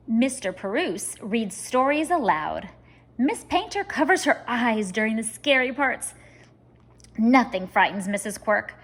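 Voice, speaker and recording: A woman reading a children's story aloud like a teacher, expressive and speaking straight to young listeners. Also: on the high side. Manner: expressive, addressing children directly.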